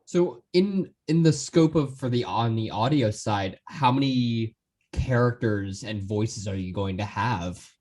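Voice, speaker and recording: A man's voice: medium pitch, measured pace, darkish tone. A man hosting a podcast, asking casual, rambling interview questions.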